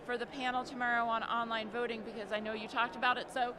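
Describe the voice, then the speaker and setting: A woman's voice: fairly high pitch, little pitch movement, quick pace, heavy background noise. A woman in the audience asking a hesitant question, then announcing an upcoming panel.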